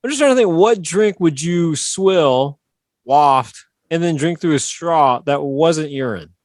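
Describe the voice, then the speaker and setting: A man's voice: fairly high pitch, animated, unhurried, a little mellow, no room sound, clean studio sound. A man co-hosting a comedy podcast, bantering playfully with teasing quips. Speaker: podcast co-host.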